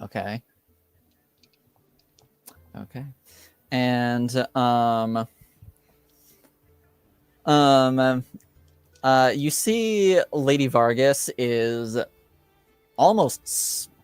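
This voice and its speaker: A man works as game master, improvising the story very slowly. His voice is smooth and clear, and his manner is hesitant, pausing as he searches for words. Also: mid-range voice, no room sound, soft background music. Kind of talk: improvised tabletop game narration.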